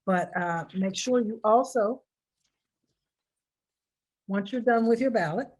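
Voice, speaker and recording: A woman's voice: a little high, slow pace, a little mellow, breathy edge. An American woman giving informal, step-by-step public instructions in an emphatic manner, repeating key points to stress them. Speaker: presenter of a voter instruction video.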